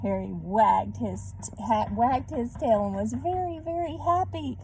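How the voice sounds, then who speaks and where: A woman reading a storybook aloud to children in a gentle manner, pausing to put questions to her young listeners. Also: on the high side, expressive, creaky. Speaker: read-aloud host for children. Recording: background noise.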